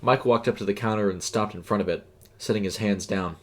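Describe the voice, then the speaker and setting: Low-voiced man narrating a story. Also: a little fast. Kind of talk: scripted story narration with character dialogue.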